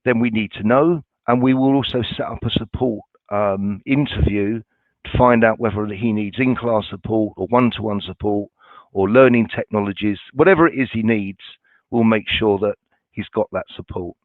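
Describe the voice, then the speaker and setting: A man's voice: lowish voice, normal speed, no echo. A man from a college staff answering viewer questions in a webinar, informal and reassuring, with many ums and restarts. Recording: dry, tinny phone-like sound.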